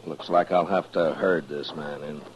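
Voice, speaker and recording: A man's voice: rumbling low, fairly even intonation, moderate pace, highs cut off. An actor playing a stern, suspicious frontier lawman in a radio western, terse, dry and commanding. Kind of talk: 1950s radio western acting. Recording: noisy old-time radio recording.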